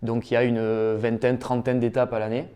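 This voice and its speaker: Chatty man, warm smooth voice. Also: mid-range voice, level tone, fairly quick. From Toulouse, France. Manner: enthusiastic, talkative, frequent "euh" fillers. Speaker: professional padel player, guest. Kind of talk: casual interview answers.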